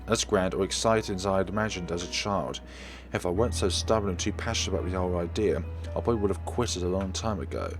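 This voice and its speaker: A man with a fairly low voice reads visual novel narration aloud with expression. He occasionally trips on words and corrects them as he goes. Music plays underneath his voice. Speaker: let's player reading a visual novel aloud. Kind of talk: expressive read-aloud of first-person story narration. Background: background music.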